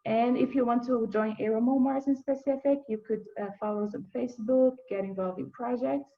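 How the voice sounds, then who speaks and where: A young woman from Brazil, a university student giving an earnest, halting webinar presentation with frequent restarts. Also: fairly high pitch, steady tone, dull audio. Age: young adult.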